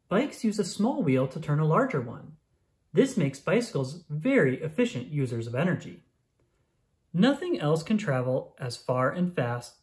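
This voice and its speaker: Expressive children's book narrator. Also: medium pitch. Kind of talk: scripted read-aloud of a children's science book.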